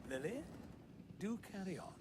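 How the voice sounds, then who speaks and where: An actor playing an elderly, formal, commanding park founder in a video game. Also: male voice, high voice, expressive, moderate pace. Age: older. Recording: game audio with clear background noise.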